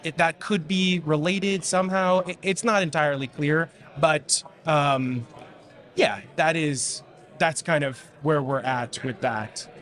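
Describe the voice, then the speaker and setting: A young man in his twenties, a graduate student answering interview questions about his research in a relaxed, technical way with fillers and restarts. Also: fairly high pitch, slow pace.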